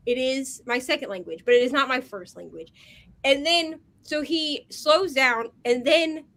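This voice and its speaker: A woman co-hosting a casual chat over a video call, an animated storyteller who fills her talk with "like.". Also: sits high, a little slow. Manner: animated storyteller, heavy on "like" fillers. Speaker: co-host.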